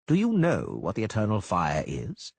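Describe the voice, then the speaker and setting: A sinister minister, quiet and dispassionate, with a low, husky voice, read by a male storyteller. He speaks slowly and evenly in a dry audiobook recording. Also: wildly swooping pitch, dry recording, exaggerated voice. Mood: solemn. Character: calm, menacing middle-aged male minister.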